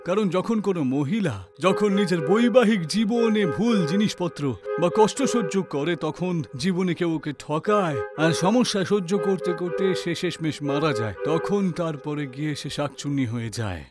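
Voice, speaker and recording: A man's voice: sits high, normal speed, few pauses, music in the background, close to an everyday voice. An actor playing a grave, authoritative village holy man giving warnings in a horror story cartoon. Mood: solemn warning. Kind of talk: animated horror story dubbing.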